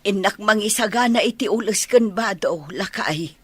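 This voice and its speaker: A woman's voice: mid-range voice, moderate pace, fairly bright tone, husky, no room sound. A woman playing a concerned, practical older grandmother in a Filipino-accented radio drama. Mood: resigned, worried. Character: caring, practical older grandmother, mother of the household. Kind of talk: Philippine radio drama acting.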